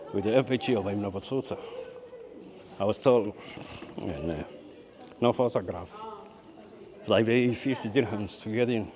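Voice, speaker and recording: A man from Birmingham, UK, chatting casually as a travel vlogger, hesitant and often repeating himself. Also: fairly low pitch. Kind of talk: casual vlog chat and narration. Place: out and about in a city. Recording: busy street and cafe chatter behind.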